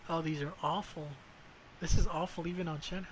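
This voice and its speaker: Blunt higher-voiced man over noisy background. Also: fairly even intonation, normal speed. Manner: blunt, foul-mouthed, mutters to self. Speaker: game streamer. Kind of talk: casual gameplay commentary.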